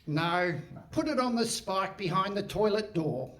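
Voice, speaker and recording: An actor playing a curt, pompous and impatient police inspector in a comic radio play on stage. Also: male voice, high-pitched, expressive, unhurried, a bit bright. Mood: exasperated. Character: bossy, impatient, exasperated male police inspector. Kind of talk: comic live radio theatre. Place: hall stage before live audience.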